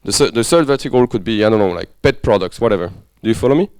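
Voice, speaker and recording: A man's voice: fairly low pitch, animated, racing, a little mellow, smooth, no echo. A man with a French accent leading a business workshop, talking casually and often checking that the group follows. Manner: friendly, conversational, checks understanding often. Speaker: workshop lecturer. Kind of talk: interactive workshop teaching. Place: workshop room.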